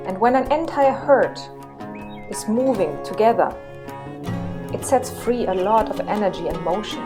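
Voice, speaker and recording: A woman with a German accent reading a slow, reflective scripted voice-over. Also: mid-range voice, animated. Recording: voice-over with music bed.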